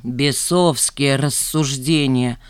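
In an audiobook, a grumbling old man acted out by a woman drags his words out slowly and needles whoever he talks to. He is sly and self-righteous, an estranged father full of grievance, recorded close and dry. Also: fairly low pitch, animated, dry recording. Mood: scornful. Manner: slow, drawling, needling delivery. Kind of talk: audiobook character dialogue.

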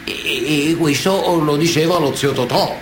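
An actor playing a wily, indignant, semi-literate Sicilian mafioso with a Sicilian accent, pleading emphatically to a judge in a theatrical radio monologue with drawn-out pauses. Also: male voice, on the high side, background noise, close to an everyday voice. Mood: insinuating, menacing. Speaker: stage actor.

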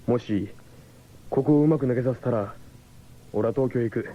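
An actor playing a rough, slangy young delinquent on the run in a 1970s Japanese radio drama. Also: male voice, mid-range voice, normal speed, natural voice. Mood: tense, hopeful. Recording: muffled noisy old radio recording.

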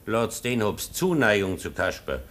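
An actor playing a stern, proud baron and guardian in a German radio play, speaking formally with dry disapproval. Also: male voice, lowish voice, big pitch swings, a little slow, fairly bright tone. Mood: disapproving.

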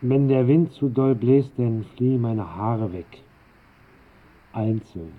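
An actor playing an old, restless, eccentric master bricklayer who grumbles and rambles in a radio drama. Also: male voice, medium pitch, takes it slowly, a little hiss or hum, natural voice. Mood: grumbling, restless. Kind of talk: 1960s German radio drama acting. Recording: muffled band-limited old radio recording.

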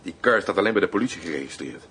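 Low-voiced, menacing gangster henchman. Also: lively intonation, very fast, fairly bright tone, a little hiss or hum. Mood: cold, taunting. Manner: taunting, threatening. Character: menacing gangster henchman Rudy, mocking, coarse and cold. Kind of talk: radio drama acting.

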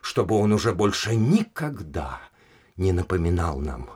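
An actor in an audio drama playing a haughty, indignant aristocratic official who speaks in an emphatic, scornful and domineering way. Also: male voice, sits low, big pitch swings, a little slow, darkish tone, breathy edge, heavily acted. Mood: brisk, confident. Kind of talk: Russian audio drama acting.

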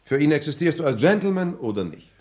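An actor in a 1960s German radio play playing a foppish, affected aristocrat who gushes pompously and sprinkles in French and English. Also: male voice, on the high side, very animated, fast, darkish tone. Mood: haughty, pompous. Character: foppish, affected aristocratic dandy, obsessed with clothes.

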